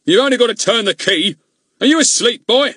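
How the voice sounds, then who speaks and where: A man's voice: mid-range voice, lively intonation, moderate pace, no echo, studio quality, voice pushed up. A man voicing a pompous, blustering middle-aged village policeman who roars and grumbles crossly. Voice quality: loud, cross and bossy.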